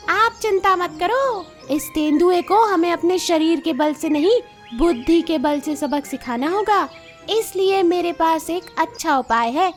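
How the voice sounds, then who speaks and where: A woman's voice: very high voice, slow, soft background music. A woman voicing a clever, sly fox with a coaxing manner in a children's animal story. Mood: confident.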